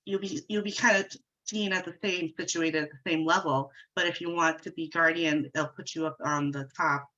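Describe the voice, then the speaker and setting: A woman's voice: sits low, fairly even intonation, normal speed, pushed and bright, muffled recording. A woman attorney with a cold, sounding congested, explaining legal matters conversationally while walking through slides in a webinar.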